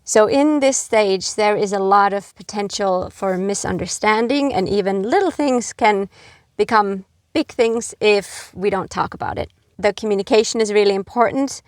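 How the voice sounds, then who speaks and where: A middle-aged woman from Finland giving earnest, gentle advice to camera, with frequent fillers like "you know". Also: medium pitch, moderate pace, no room sound, studio quality. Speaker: expat vlogger.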